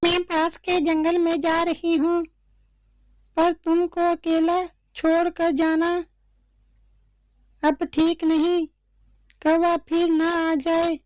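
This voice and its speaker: A woman's voice: very high-pitched, completely flat delivery, takes it slowly, long pauses, darkish tone, no echo, clean studio sound. A woman voicing a watchful, caring mother bird who speaks instructively in a cartoon story. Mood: worried, protective. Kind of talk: slow cartoon story dialogue.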